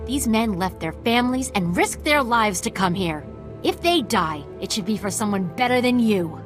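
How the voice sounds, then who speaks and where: An actress playing a principled, no-nonsense military officer in a video game, direct and businesslike. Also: female voice, a little high, animated, normal speed, over music, natural voice. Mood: indignant, scornful. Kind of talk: dramatic video game acting.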